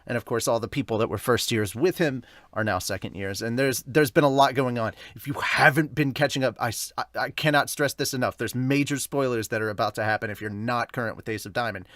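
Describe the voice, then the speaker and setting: Man, podcast host, bright forceful voice, casual review chat, rambling, self-correcting, dry close sound. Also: mid-range voice, a little fast, hardly any pauses, no echo. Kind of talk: casual chatty review intro with live commentary.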